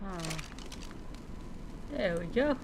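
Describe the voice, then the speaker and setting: A woman telling a story in character, reading aloud haltingly with reminiscing asides. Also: medium pitch, animated, vocal fry, darkish tone, a little hiss or hum. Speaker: roleplay streamer.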